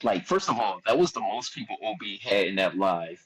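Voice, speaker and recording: Laid-back Philly man with a low voice. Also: a little fast, a crowd in the background, poor audio. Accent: Philadelphia. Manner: laid-back, animated storyteller who acts out quotes. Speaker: podcast guest, rapper. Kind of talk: casual storytelling chat.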